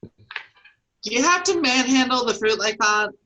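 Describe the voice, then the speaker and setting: A woman's voice: fairly high pitch, animated, measured pace, pushed and bright, low-quality recording. A woman playing a tipsy, blunt, sarcastic sister in a staged comedy reading. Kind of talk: staged reading of a comic play.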